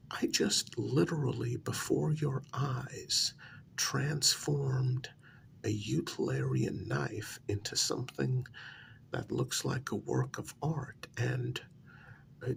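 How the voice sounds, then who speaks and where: An eerily calm male asylum patient acted by a man speaks softly in an ASMR role-play, often slipping into a whisper. The voice is heavy with gravelly fry and breath. He pauses often and talks with wry, quiet condescension, as if reality were his own creation. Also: medium pitch, exaggerated voice. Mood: proud, curious. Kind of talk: soft-spoken ASMR role-play monologue.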